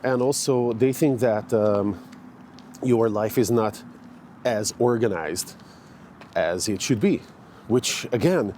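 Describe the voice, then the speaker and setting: Man in his forties, rambling vlogger, low voice, faint outdoor hum behind him. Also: takes it slowly, pauses often, warm tone. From Hungary. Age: 40s. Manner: rambling, many fillers and restarts. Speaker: fitness vlogger. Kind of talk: casual walking vlog. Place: outdoor park in the morning.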